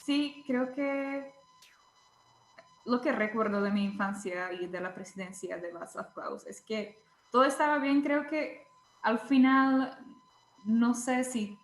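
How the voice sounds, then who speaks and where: A young woman with a Czech accent, a teacher and blogger answering interview questions in a hesitant way, often pausing to search for words. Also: a little high, echoey room, poor audio. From Czech Republic. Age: young adult.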